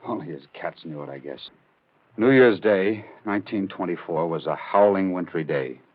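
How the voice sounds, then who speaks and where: An actor playing a man who grimly recounts a dark tale in 1940s radio drama, dramatic and deliberate. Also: deep voice, expressive. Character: male storyteller, grimly recounting a madman's tale. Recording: muffled old radio recording.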